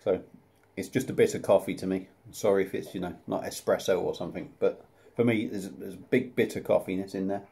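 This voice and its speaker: Chatty man, mellow voice. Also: low-pitched, fairly even intonation, brisk, creaky. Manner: chatty, self-deprecating, plain-spoken. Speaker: beer reviewer. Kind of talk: casual beer review to camera. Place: small room at home, indoors. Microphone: camera close up at desk level.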